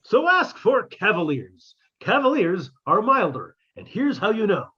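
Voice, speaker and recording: Someone playing a husky 1950s radio ad announcer. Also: sing-song, unhurried, pushed and bright, full character voice. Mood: salesy. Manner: upbeat, emphatic sales pitch. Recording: dull lo-fi audio.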